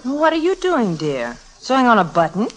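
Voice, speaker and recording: A woman's voice: fairly high pitch, huge pitch swings, moderate pace. An actress playing a coy, affectionate young society woman, in 1940s radio style. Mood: teasing. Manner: coy, teasing. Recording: noisy, clicky 1940s radio recording.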